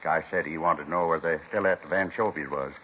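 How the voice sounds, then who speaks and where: Low-voiced, folksy grocery butcher. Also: male voice, fairly even intonation, fast talker. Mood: earnest. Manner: folksy, ungrammatical, plain-spoken. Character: earnest, simple, good-natured male grocery butcher. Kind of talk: 1950s radio police drama acting. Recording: muffled, hissy 1950s radio recording.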